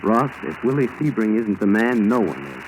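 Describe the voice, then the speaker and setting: A man's voice: fairly low pitch, sing-song, normal speed. An American actor playing a determined male FBI agent in a 1940s radio drama, talking in short, direct phrases. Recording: noisy old 1940s radio recording.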